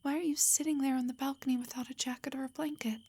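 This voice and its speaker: A woman playing a loving, protective elf wife in an intimate roleplay audio, speaking tenderly and reassuringly in a breathy whisper. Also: sits high, fairly even intonation, fast talker, dark tone. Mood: tender concern.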